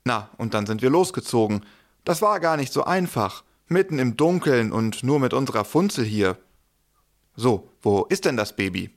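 A man playing an excited, plain-spoken shepherd in a Nativity audio play, telling his tale colloquially. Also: mid-range voice, moderate pace. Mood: excited, recounting.

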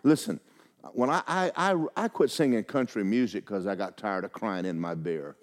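An older man preaching a sermon, telling personal stories in a folksy, animated way with many restarts and acted-out quotes. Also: lowish voice, normal speed. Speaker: pastor.

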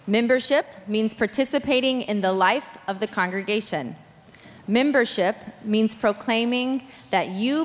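A woman leading prayer in a church, speaking slowly and deliberately. Her voice carries through a large sanctuary with long reverb. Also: mid-range voice, a bit bright. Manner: measured, deliberate. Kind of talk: liturgy and prayer.

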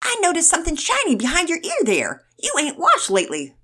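A woman's voice: extremely high pitch, wildly swooping pitch, fairly quick, pushed and bright, voice pushed up, full character voice. A woman voicing a playful, teasing great-uncle with a put-on Southern drawl, folksy and theatrical, in a children's story.

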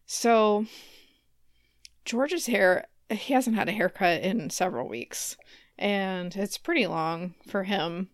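A woman's voice: mid-range voice, slow, dry recording, studio quality. A woman in her thirties chatting casually and telling stories with wry humor as a podcast co-host. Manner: wry, laughs often.

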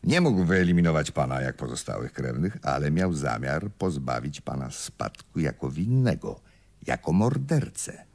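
Radio drama inspector, male: shrewd, formal and methodical, very low husky voice with lively intonation. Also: exaggerated voice. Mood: explaining, insistent. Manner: formal, deliberate, methodical reasoning. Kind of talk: Polish radio play acting.

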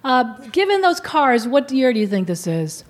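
A woman's voice: high voice, very animated, normal speed. A woman co-hosting a casual chat, skeptical and chatty, reading aloud from an old column. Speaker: co-host.

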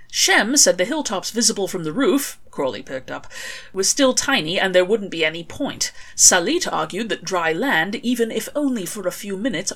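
A woman narrating a scripted story in an expressive, wry storytelling manner. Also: medium pitch. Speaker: author reading own fan fiction.

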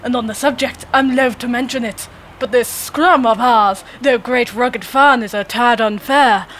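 A jolly, pompous older British gentleman in a sketch comedy monologue. The voice is high and very forceful, with slow, theatrical bluster and posh exclamations. He is blissfully oblivious and full of old-school sportsmaster zeal. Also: slight background noise. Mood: earnest, excited.

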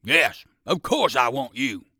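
Man acting a bright, hearty old soldier dog. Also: mid-range voice, sing-song, measured pace, breathy edge, no room sound, studio quality, exaggerated voice. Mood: brisk, commanding. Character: kind old circus dog soldier, brisk and polite. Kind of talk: children's storybook character voice.

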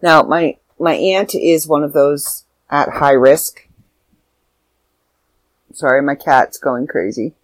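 A woman's voice: deep voice, fairly even intonation, slow pace, pauses often, dry recording. Stuffy, tired woman rambling to camera. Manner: rambling, self-interrupting. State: stuffy, slightly sore throat. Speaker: vlogger. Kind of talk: casual chat to camera. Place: at home.

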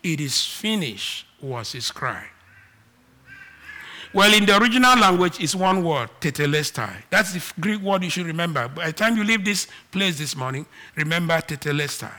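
A man from Nigeria with a Nigerian accent, preaching a sermon and moving between loud emphatic declarations and quiet asides. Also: fairly high pitch, expressive, moderate pace.

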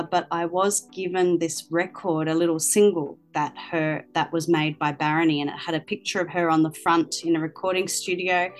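A woman's voice: fairly low pitch, poor audio. A woman, a guest in an interview, telling stories about her teaching life with an easy, chatty manner. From Australia. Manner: chatty, storytelling with many ums. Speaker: guest, language teacher and author. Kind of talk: interview answers.

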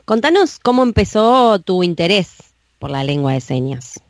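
A woman's voice: fairly low pitch, very animated, normal speed, dry recording. Chatty Argentine woman hosting a podcast. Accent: Argentine Spanish. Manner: chatty, laughs easily. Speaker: podcast host. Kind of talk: casual podcast interview.

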